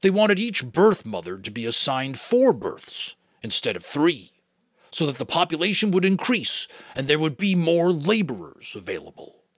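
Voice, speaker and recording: A man voicing a wise old male keeper of memories who speaks slowly and gravely. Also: a little high, very animated, no room sound, clean studio sound, pitched above the performer's own voice. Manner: slow, measured.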